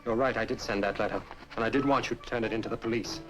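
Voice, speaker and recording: An actor playing a testy, conniving nephew in a radio drama, formal and curt. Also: male voice, lowish voice, fairly even intonation, rapid-fire, music in the background, lightly acted, low-quality recording. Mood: cold, exasperated. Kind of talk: old-fashioned radio drama acting.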